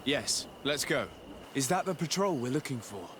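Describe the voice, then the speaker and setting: An actor playing an earnest, courteous diplomat in a fantasy video game, polite and assured. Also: male voice, mid-range voice, very animated, moderate pace, background noise, natural voice. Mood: calm. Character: young male diplomat legate, earnest and courteous.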